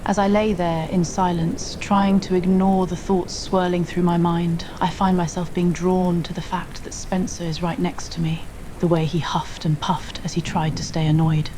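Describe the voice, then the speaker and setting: A woman's voice: lowish voice, level tone, moderate pace. A woman narrating a scripted romantic story in the first person. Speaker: audio roleplay narrator. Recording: faint rain noise bed. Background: rain ambience.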